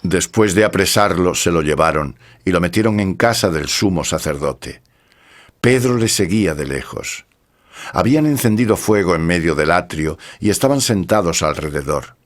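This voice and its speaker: A man narrating scripture from a script in a solemn, measured manner. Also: low-pitched, animated.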